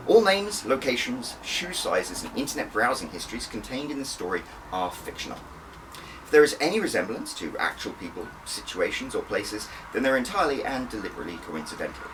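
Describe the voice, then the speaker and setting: A man's voice: lowish voice, very animated, fairly quick, distant mic, over music, low-quality recording. A man reading his own story aloud as an audiobook, with a wry, conversational manner and dramatic emphasis. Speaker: author reading own book. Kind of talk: first-person audiobook narration.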